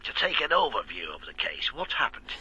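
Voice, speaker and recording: An actor in a radio drama playing a gruff middle-aged police inspector with a clipped, wry manner. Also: male voice, medium pitch, wildly swooping pitch, brisk, sharp tone, highs cut off, heavily acted. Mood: dry, businesslike.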